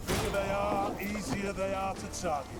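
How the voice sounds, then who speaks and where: Actor as boastful archer, high and flat, loud music behind. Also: male voice, normal speed, lightly acted.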